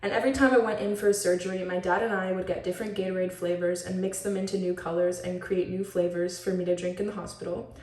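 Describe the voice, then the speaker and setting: A woman's voice: lowish voice, steady tone, fast talker, darkish tone. Talkative teen girl showing off her identity box, bubbly and chatty, sounds a bit far from the mic in an echoey room. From Switzerland. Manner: chatty and upbeat, talks a lot. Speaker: student presenting a school project. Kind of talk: show-and-tell presentation. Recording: roomy echo, mic at a distance.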